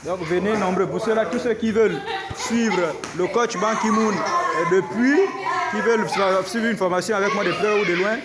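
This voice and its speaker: A man coaching self-defense, speaking casually and haltingly to his followers from a karate dojo and repeating words as he goes. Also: sits high. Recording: loud crowd noise behind. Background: crowd of young students.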